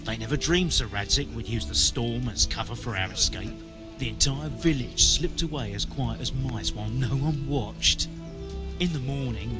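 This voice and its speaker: Actor as eager medieval villager, music behind. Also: male voice, medium pitch, lightly acted. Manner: eager storytelling. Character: gleeful villager telling tales of escape. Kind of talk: medieval video game cutscene acting.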